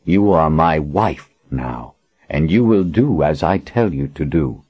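A man's voice: extremely low pitch, expressive, slow, breathy edge, full character voice. A man voicing a cruel, arrogant usurping lord who speaks in a cold, commanding, menacing way. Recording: dull lo-fi audiobook recording.

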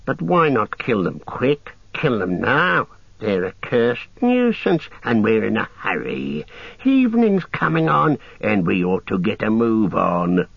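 A man's voice: fairly low pitch, big pitch swings, unhurried, creaky, husky, exaggerated voice. A man voicing a quarrelsome, bloodthirsty small orc, whining and complaining in a storybook reading. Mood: impatient. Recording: roomy, dull lo-fi sound.

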